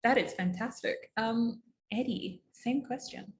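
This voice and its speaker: A woman's voice: on the high side, unhurried, slight background noise. A woman, asking questions as an interviewer in a friendly, structured chat.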